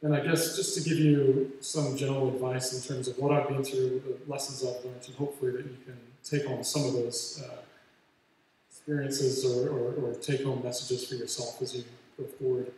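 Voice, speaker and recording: A man's voice: medium pitch, little pitch movement, moderate pace, dark tone. A man around forty with a Canadian accent gives an informal, self-effacing graduation speech in a large hall, speaking hesitantly. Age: late 30s to early 40s. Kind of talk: informal motivational speech with personal story. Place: large hall with a big audience. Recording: very echoey hall, distant, faint noise.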